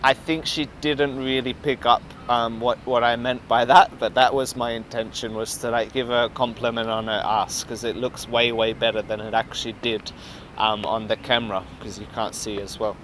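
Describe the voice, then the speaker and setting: Australian man, young, relaxed and chatty, lots of ums, traffic noise outdoors. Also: mid-range voice, normal speed. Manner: laid-back, laughs often, many ums. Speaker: dating coach. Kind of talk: casual street chat and voice-over commentary. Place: outdoors in a city park.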